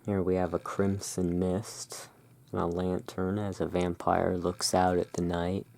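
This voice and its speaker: A person narrating in a soft, hushed, deliberate ASMR style while presenting cards as a host. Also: low voice, little pitch movement, vocal fry.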